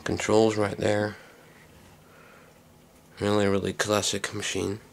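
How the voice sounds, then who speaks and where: A man giving a casual, unscripted hands-on demonstration in a low, creaky voice, recorded on a handheld camera microphone. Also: monotone, takes it slowly, slight background noise. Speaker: hobbyist video maker. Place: small indoor workroom.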